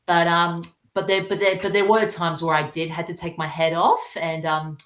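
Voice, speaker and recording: Low voiced woman on a podcast, kinda hesitant, repeats herself, echoey room. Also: little pitch movement, a bit bright. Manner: hesitant, halting, often repeats phrases. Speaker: podcast guest. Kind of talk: casual chat. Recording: roomy, echoey sound.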